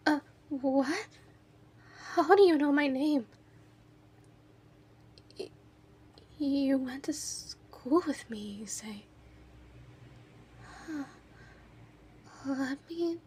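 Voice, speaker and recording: A woman's voice: high voice, darkish tone, slight background noise. A woman playing an obsessive, lovesick kidnapper in her thirties in an intimate, emotional audio roleplay monologue. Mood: soft, eerie, guarded. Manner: clingy, emotional, swings between breathy whispers and outbursts.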